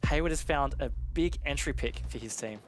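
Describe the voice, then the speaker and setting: A man's voice: medium pitch, a little fast, noisy background. A man working as an esports commentator, giving live analysis in a conversational, explanatory way.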